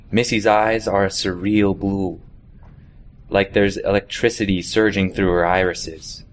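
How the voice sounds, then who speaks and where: A young man reading a literary short story aloud as scripted narration. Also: lowish voice. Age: young adult.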